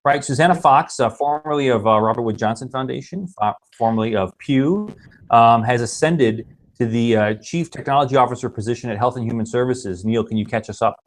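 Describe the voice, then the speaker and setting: A man's voice: mid-range voice, fairly quick, low-quality recording. A man hosting a casual web broadcast, upbeat and joking, with stumbling restarts. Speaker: host.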